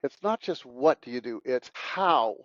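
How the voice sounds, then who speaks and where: A man's voice: medium pitch, animated, unhurried, a bit bright, no room sound. Emphatic man on dull, dry audio. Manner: emphatic, preachy, repeats key words. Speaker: podcast guest. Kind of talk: interview talk.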